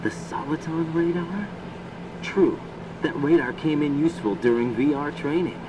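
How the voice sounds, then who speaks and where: A man's voice: on the high side, normal speed, far from the mic, background noise, lightly acted. An American man playing an earnest, inquisitive young special agent reporting in to a commander in a video game. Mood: questioning. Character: young male special agent, earnest, questioning rookie soldier. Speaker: field operative.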